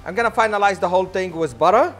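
Excited chef guy showing a recipe, kinda high voice, lapel mic, bit of music and sizzle behind. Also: rapid-fire. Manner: enthusiastic, non-native phrasing. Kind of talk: cooking demonstration. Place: studio kitchen set.

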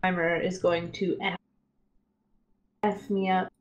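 A woman's voice: lowish voice, level tone, takes it slowly, lo-fi sound. A woman chatting casually and playfully while gaming, swearing freely as she banters. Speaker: gamer in a multiplayer match. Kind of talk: casual gameplay chat with swearing. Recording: gunfire and artillery game noise behind. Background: game battle sounds, gunfire.

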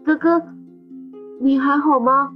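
A woman playing a gentle, anxious fourteen-year-old boy in an audio drama, hesitant, earnest and polite. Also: sits high, steady tone, takes it slowly, highs cut off. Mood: concerned. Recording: background music under the voice.

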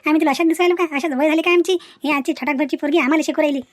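A woman's voice: high voice, steady tone, very fast, no room sound, lightly acted. A woman voicing a querulous, scornful elderly village matchmaker in a cartoon, with a Varhadi Marathi accent. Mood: offended. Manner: querulous, scolding.

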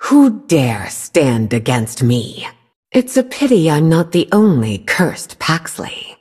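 An actress voicing a proud, menacing cursed sorceress queen for a game, with a husky, breathy tone and a slow, haughty delivery. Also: low voice, big pitch swings, a little mellow, exaggerated voice. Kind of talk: game character voice lines.

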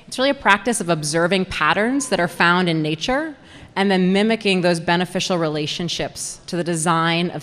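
A young woman giving a prepared, organized public presentation as a program coordinator, speaking into a microphone on a stand. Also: on the low side. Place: indoor presentation room with audience. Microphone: handheld-style mic on stand. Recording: reverberant hall through PA microphone.

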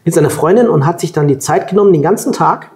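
A man's voice: a little high, quick pace. German guy telling travel stories. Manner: lively anecdotal storytelling, colloquial. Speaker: guest, long-distance scooter traveller. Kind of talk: storytelling in a sit-down interview. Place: room with plants at a table. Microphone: lapel mic.

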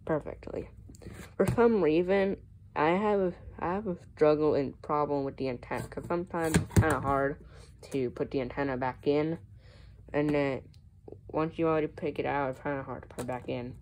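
A woman's voice: sits low, moderate pace, darkish tone. A young woman with an American accent giving a casual show-and-tell narration on a handheld phone at home. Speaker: hobbyist vlogger showing a collection. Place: room at home. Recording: noisy handheld phone recording.

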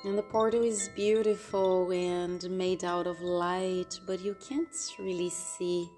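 A woman hosting a guided meditation, speaking gently and unhurriedly, with long pauses between phrases. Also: fairly low pitch, flat delivery. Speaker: meditation guide and podcast host. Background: meditation music with soft chimes.

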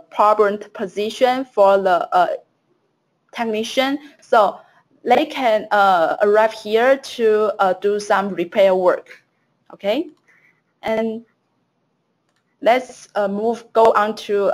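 Woman presenter, halting webinar software demo. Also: medium pitch. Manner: hesitant, many fillers and restarts.

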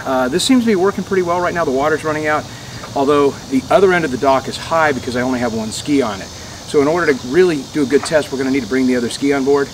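A man's voice: mid-range voice, fast talker, low-quality recording. A middle-aged American man explaining a DIY project casually to a camera outdoors. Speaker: DIY vlogger. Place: outdoors on a residential waterside dock. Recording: outdoor ambient background noise.

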